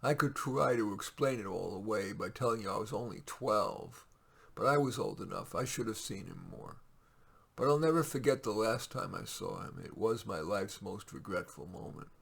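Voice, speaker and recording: Male story reader: very low, raspy, measured, expressive, informal author-reading feel. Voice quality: raspy, gravelly. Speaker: writer reading his own short stories. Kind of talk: storytelling read aloud with casual asides.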